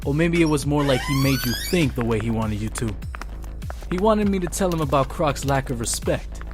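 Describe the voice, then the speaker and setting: A man's voice: a little high, animated, moderate pace, over music, close to an everyday voice. An actor playing a wary, ambitious henchman in a motion comic, speaking in a plain, confiding, hard-boiled noir manner. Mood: reflective. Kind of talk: motion comic narration and dialogue.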